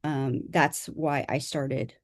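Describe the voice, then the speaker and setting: A woman from Southern California, an author introducing herself on a video call, speaking modestly and haltingly with fillers. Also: rumbling low, fairly even intonation, dry recording, studio quality. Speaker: author presenting a workshop.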